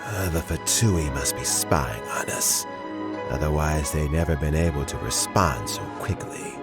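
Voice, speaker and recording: An older man playing a wise, measured elder adviser in a video game, deliberate, sage and expressive. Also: very deep voice, over loud music. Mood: grave, inquiring.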